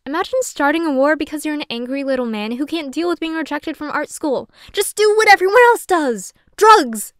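A woman's voice: high-pitched, fast talker, few pauses, dry recording, clean studio sound, lightly acted. A young adult woman playing a sardonic, flustered, self-deprecating young woman who rambles and swears casually in an audio roleplay monologue. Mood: exasperated, joking.

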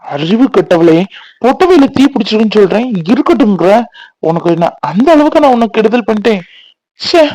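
An actor playing an earnest, persistent young bridegroom in a Tamil serial, talkative and pleading in a boyish way. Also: male voice, high-pitched, a little fast, a little mellow, no echo, natural voice, rough recording. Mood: exasperated. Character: earnest, persistent young bridegroom devoted to his scornful wife.

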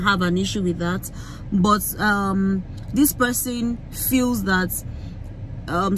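A young Nigerian woman with a Yoruba-flavoured accent, vlogging into a phone in a car, talking in an animated, emphatic way with many fillers and restarts. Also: mid-range voice, slight background noise, poor audio. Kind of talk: casual rant to camera. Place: inside a parked car. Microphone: phone camera held close.

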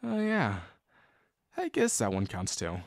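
A man's voice: medium pitch, wildly swooping pitch, gravelly, no echo, studio quality, full character voice. A man playing a shy, sincere young guy in love with his best friend, speaking hesitantly and intimately to the listener in an audio roleplay. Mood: casual, teasing. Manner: hesitant, halting, full of pauses and sighs. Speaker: voice actor.

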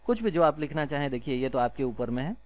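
A man's voice: mid-range voice, big pitch swings, quick pace, a little mellow. Indian man teaching a casual coding tutorial. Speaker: programming tutorial instructor. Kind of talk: informal step-by-step screencast tutorial.

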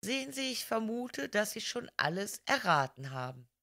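A woman voicing a polite, gentle male priest detective who explains things calmly in a crime audiobook. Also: mid-range voice, normal speed, voice pushed up. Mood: confiding.

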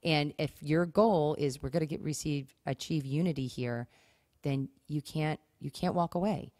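A woman's voice: deep voice, normal speed, warm tone, no echo, clean studio sound. A woman co-hosting a conversational podcast, speaking emphatically and acting out example phrases. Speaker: podcast co-host. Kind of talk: conversational talk on marriage advice.

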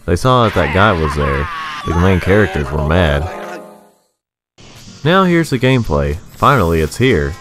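A man's voice: deep voice, big pitch swings, a little slow, long pauses, over music. A man reading a scripted, rhyming video game review in a sarcastic, sing-song manner.

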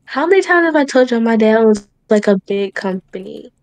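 A woman playing a bossy, spoiled young rich woman in an improvised game roleplay, sassy and quick to blame others. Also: a little high, lively intonation, smooth, no echo. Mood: nonchalant. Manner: sassy, demanding, dismissive.

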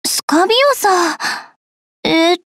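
A woman's voice: very high voice, normal speed, a bit bright, dry recording, studio quality. A woman voicing a sweet, cheerful young dream fairy girl in an anime-style game, cutesy, bubbly and eager. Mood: eager pleading.

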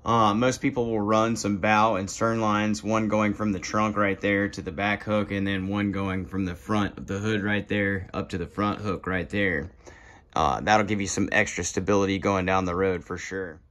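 A young man casually walking through steps of a how-to under a carport at night, speaking in relaxed, plainspoken directions to a phone camera as he moves around. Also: fairly low pitch, moderate pace. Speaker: kayaker demonstrating gear. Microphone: phone camera, moving around the car.

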